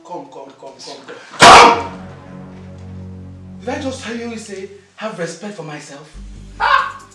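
An actor playing a desperate husband who begs his wife, then rages and shouts, with his pitch swinging widely. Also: big pitch swings, slow, heavily acted. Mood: shouting. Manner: begging, then screaming. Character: desperate pleading husband turned raging shouter. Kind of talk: melodrama acting. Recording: echoey room from far off with music.

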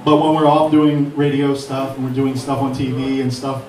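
A man with a middle-pitched voice speaks on a mic in a hall, thanking everyone in a rambling, self-deprecating way. His voice rings around the room and the mic sits some distance from him. Faint noise stays underneath. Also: completely flat delivery, moderate pace, darkish tone. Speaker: beat producer. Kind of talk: speech at a live event on a mic. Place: event hall with an audience. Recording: echoey hall mic from far off.

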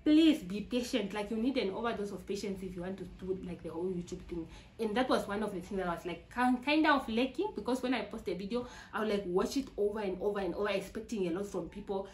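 A woman's voice: mid-range voice, fast talker, a little hiss or hum. Chatty Namibian woman, echoey room. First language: not English. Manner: chatty, repeats herself, frequent "like" fillers. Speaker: YouTuber. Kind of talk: casual talk to camera.

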